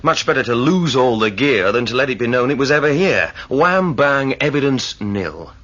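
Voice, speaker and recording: Husky, dry spacefaring man. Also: medium pitch, expressive, moderate pace, a bit bright. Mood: dry, reasoning. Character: practical, dry male spaceship crewman scouting a hideout. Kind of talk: 1980s sci-fi radio drama acting. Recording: muffled old radio recording.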